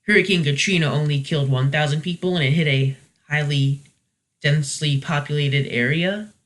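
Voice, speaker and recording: A man's voice: on the high side, steady tone, moderate pace, a bit bright. A man hosting a casual, unscripted review, talking in a hesitant, rambling way and often correcting himself. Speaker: reviewer hosting a weekly video series.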